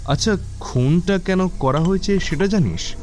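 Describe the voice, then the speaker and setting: Inquisitive young man, audio drama. High voice, soft dark tone, wondering delivery. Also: a little slow, a little hiss or hum. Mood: surprised, curious. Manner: questioning, wondering. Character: curious young man visiting, surprised and inquisitive.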